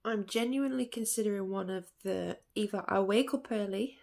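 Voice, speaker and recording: A woman's voice: mid-range voice, normal speed, creaky, a little mellow. A woman, stuffy-nosed from hay fever, chatting casually as she thinks aloud in a vlog. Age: late 20s. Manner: rambling, thinking aloud with fillers and self-corrections. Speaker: artist vlogger. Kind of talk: casual reflective vlog chat.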